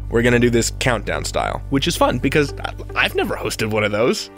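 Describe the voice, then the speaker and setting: A man's voice: mid-range voice, wildly swooping pitch, fairly quick, fairly bright tone. A man hosting a podcast in a scripted yet conversational style, with a playful, theatrical and wry delivery. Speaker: podcast host. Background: music.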